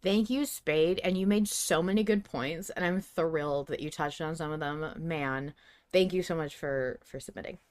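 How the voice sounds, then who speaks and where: Low-voiced woman podcast host, emphatic. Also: normal speed. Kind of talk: casual fan discussion of an audio drama.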